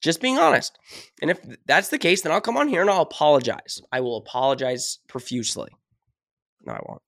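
A man's voice: on the high side, expressive, a little fast, creaky, a bit bright, no room sound, studio quality. A man hosting a casual sports podcast, ranting with emphasis, a little sick and losing his voice. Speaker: podcast host.

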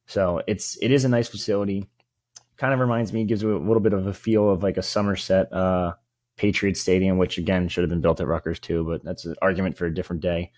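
A man with a fairly low voice co-hosts a casual sports podcast. He rambles informally and shares opinions freely. The sound is close and dry. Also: steady tone, fast talker, a little mellow, no room sound.

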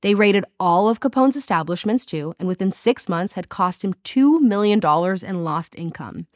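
South African woman, podcast co-host, animated storyteller, mid pitch, dry close sound. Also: moderate pace, dry recording. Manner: giggly, animated storytelling with silly voices. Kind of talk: casual chat, reading history notes.

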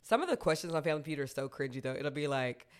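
A woman chats casually as a podcast co-host, her voice on the deep side. She tells stories in a playful way, acting out little asides as she goes. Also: quick pace, smooth. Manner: playful, animated storytelling with quoted asides. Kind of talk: casual chat.

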